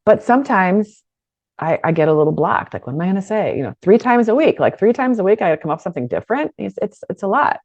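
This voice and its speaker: A middle-aged woman teaching an online business course in a lively, conversational way, with frequent restarts. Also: mid-range voice, fast, no room sound, dull audio. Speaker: business coach.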